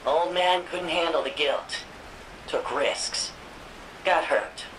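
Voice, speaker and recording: An actress playing a brisk, nerdy woman archivist in a video game, chatty and expressive. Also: sits low, a little hiss or hum, low-quality recording. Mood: frank, reflective. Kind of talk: video game voice acting, exposition.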